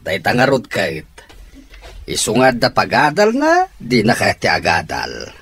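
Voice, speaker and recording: Concerned male relative, radio drama; rough low voice, expressive advice-giving. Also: a little slow, exaggerated voice. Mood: concerned, advising.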